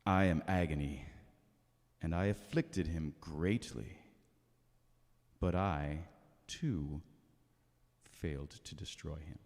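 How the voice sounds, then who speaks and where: A man's voice: a bass voice, slow, pauses often, a little mellow, full character voice. A man playing Agony personified as a cruel, relentless, gloating spirit in a grave, dramatic church reading. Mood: grave, defeated. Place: church sanctuary during a service. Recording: reverberant church hall.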